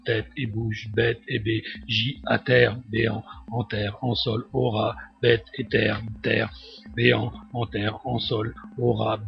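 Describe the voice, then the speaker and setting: A man's voice: on the low side, vocal fry. A man reciting a spoken-word poem as an incantatory litany, in short, repeated, fragmented phrases. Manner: repetitive fragmented short phrases, monotone chant. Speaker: poet reciting his own text. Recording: dull lo-fi audio with faint noise.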